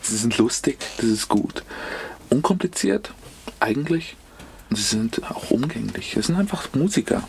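An actor playing a reflective musician speaking haltingly, as if interviewed, in a radio play. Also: male voice, medium pitch, big pitch swings, gravelly, a little mellow, slight background noise. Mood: sincere. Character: pensive, halting male musician being interviewed.

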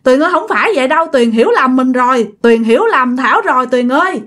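A woman's voice: high-pitched, expressive, fast talker, fairly bright tone, pitched above the performer's own voice. A woman with a Southern Vietnamese accent voicing a sorrowful woman who pleads and apologetically explains herself in a told story. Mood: pleading, sorrowful.

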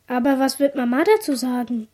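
A woman's voice: high voice, flat delivery, a little fast, warm tone. A woman playing a polite, eager little boy in a radio drama. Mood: polite, curious.

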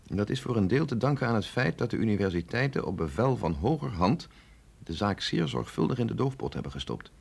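Radio play scientist, middle-aged sceptic with a husky voice, lively pitch swings, measured and insistent. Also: male voice, full character voice. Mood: authoritative, explaining. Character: sceptical, authoritative male scientist briefing an agent, no longer young. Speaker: scientist doctor. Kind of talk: radio drama acting.

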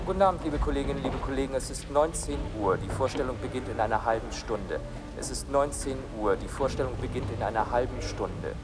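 A male actor as a brisk stage manager in a radio play. He gives backstage announcements at a medium pitch, with music clearly playing behind him. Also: natural voice. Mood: businesslike.

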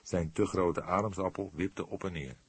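A man's voice: low voice, fairly even intonation, normal speed, husky. Een man die als luisterboekverteller een literaire tekst voorleest. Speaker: audiobook narrator. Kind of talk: scripted literary narration.

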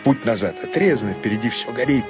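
A man narrating a dramatized literary story, expressive in his delivery. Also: medium pitch, brisk, music in the background.

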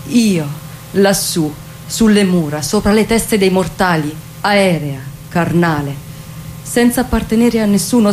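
A woman performing a theatrical monologue as an ageless, detached, proud legendary queen, in measured, deliberate fragments. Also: mid-range voice, darkish tone, a little hiss or hum, close to an everyday voice. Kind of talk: theatrical dramatic monologue, slow literary recitation.

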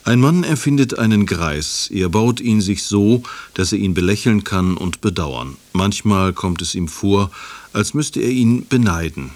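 A man reading a scripted programme preview as a formal, measured radio announcer. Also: on the low side, expressive, a little mellow.

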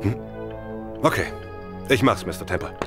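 Actor as uneasy shady errand man, very animated. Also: on the low side, normal speed, loud music behind the voice, close to an everyday voice. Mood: reluctant, wary. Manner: hesitant, colloquial. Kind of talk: radio drama acting.

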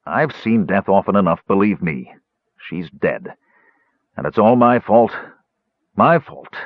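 Man voicing a big male warrior, grief-stricken, stubborn, blunt, lively low voice. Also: slow, no room sound, phone-quality sound. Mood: grieving.

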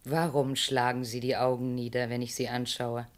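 A cool, commanding woman in a radio play, low voice, calm insistence. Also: normal speed. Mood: seductive. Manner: calm, insistent, sometimes whispering. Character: cold, commanding woman urging a murder.

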